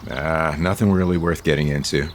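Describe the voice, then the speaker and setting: A man's voice: extremely low pitch, steady tone, moderate pace, a little hiss or hum. An actor playing a guarded, self-assured male wellness coach in an audio soap opera.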